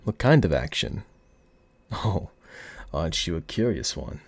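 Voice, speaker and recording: A man acting as a smug mafia boss with a low voice and a put-on gangster accent. He teases and taunts with seductive, very animated swings of pitch. The sound is close and dry, in an ASMR roleplay style. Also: creaky, no room sound, exaggerated voice. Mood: suggestive, teasing. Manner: teasing, taunting, drawn-out seductive delivery. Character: cocky, flirty, smug male mafia boss.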